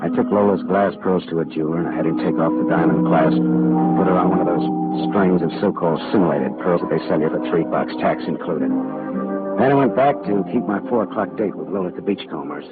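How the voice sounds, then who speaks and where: Guy playing tough wry private eye, american, really low voice, tinny old radio drama. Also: a little fast, lightly acted. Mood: wry narration. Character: hard-boiled male private detective, wry, decent, world-weary. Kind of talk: 1940s radio drama acting and first-person narration. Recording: tinny 1940s radio broadcast, music.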